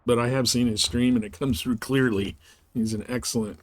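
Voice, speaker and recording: Male game streamer, medium pitch, slangy and folksy, chatting casually to viewers while playing. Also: steady tone, brisk. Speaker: game livestream host.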